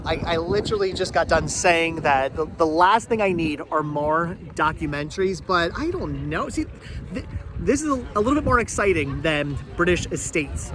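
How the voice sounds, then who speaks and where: A man with a high-pitched voice hosts a casual shopping vlog. He speaks in a chatty, looping way, often repeating phrases before finishing them. The recording is outdoors at a flea market, with clear ambient noise behind him. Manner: repeats and doubles back on phrases. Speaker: vlog host. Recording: noisy outdoor flea market location sound.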